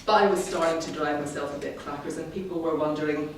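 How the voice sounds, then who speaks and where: A middle-aged woman, giving a prepared speech to a club in a measured, wry, self-deprecating way. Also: sits low, fairly even intonation. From Scotland, born in the Highlands. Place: indoor meeting room, in front of an audience. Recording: very echoey room, distant mic, clearly noisy.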